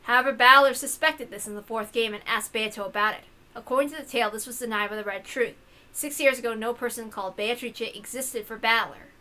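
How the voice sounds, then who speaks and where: A woman's voice: a little high, brisk, pushed and bright. A woman narrating a visual novel in a dramatic story-reading style.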